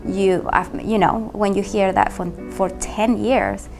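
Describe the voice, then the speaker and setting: A woman from Caracas, speaking English with a Venezuelan accent. Her voice is noticeably gravelly with vocal fry, and she explains things in a measured way with a quietly sorrowful tone. Music plays clearly beneath her. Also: mid-range voice, steady tone, low-quality recording. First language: Spanish. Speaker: ballet mistress and company manager. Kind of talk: personal testimony interview. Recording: music under the voice.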